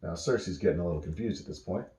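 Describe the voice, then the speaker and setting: A man's voice: deep voice, roomy sound. Man telling an improvised bedtime story. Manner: relaxed, pausing often, answering interruptions.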